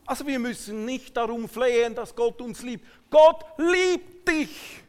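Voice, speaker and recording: A man with a Swiss German accent preaching a sermon to a congregation, emphatic and swinging between hushed asides and raised declarations. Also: very high voice, animated, a little slow, clean tone. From Switzerland. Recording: long hall reverberation.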